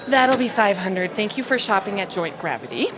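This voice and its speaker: An actress playing a bossy, sardonic veteran shop worker in a conversational indie drama. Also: female voice, medium pitch, sing-song, moderate pace, noisy background, natural voice. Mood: brusque. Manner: brusque, sardonic.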